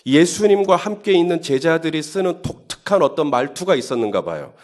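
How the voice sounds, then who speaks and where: A man's voice: fairly high pitch, sing-song, fairly quick. Conversational male pastor preaching. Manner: conversational, building to emphatic questions. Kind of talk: sermon at early-morning Holy Week service.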